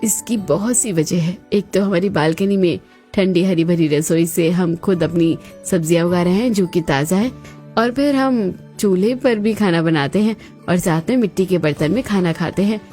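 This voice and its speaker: A woman's voice: lowish voice, normal speed, warm tone, lightly acted. A woman playing a practical, cheerful young housewife in a cartoon family story, with music behind her. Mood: upbeat, explaining. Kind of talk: Hindi cartoon story dialogue.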